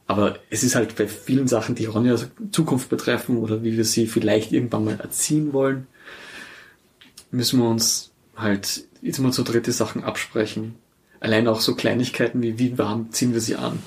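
A man's voice: mid-range voice, little pitch movement, normal speed, darkish tone. Austrian man in conversational interview. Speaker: interview guest, parent.

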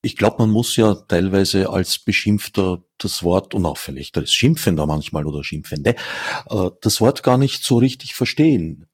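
A man interviewing a guest in a studio, asking reflective, measured questions. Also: deep voice, a little mellow, husky, no room sound.

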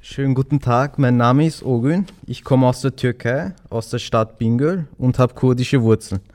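A man's voice: mid-range voice, normal speed, a little mellow. A nineteen-year-old man from Vienna, a guest on school radio, giving short plain answers. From Vienna, Austria. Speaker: interview guest.

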